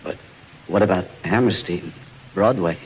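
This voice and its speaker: An actor playing an earnest, smooth-talking man in love in a 1940s radio drama. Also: low voice, measured pace. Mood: confident, pleased. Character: earnest, smooth-talking male suitor, cafe schemer in love. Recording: muffled, hissy 1940s radio broadcast.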